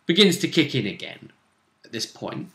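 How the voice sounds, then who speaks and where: A man casually narrating a tabletop roleplaying game as game master, in a halting, conversational way. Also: mid-range voice, very animated, bright, forceful tone. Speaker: game master recapping a campaign session. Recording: echoey room.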